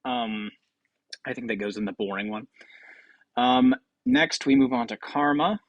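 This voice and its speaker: A man's voice: mid-range voice, a little slow, lo-fi sound, background noise. A man hosting casual gaming commentary, rambling and thinking aloud with some hesitation. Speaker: video host.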